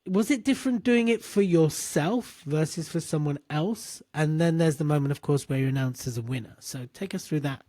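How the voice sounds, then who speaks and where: A man hosts a podcast interview, tossing in jokes and eager reactions. His voice sits fairly high for a man. The sound is dry and close. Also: normal speed, few pauses, dark tone, dry recording. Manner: jokey, enthusiastic interjections. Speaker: podcast host. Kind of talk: interview hosting.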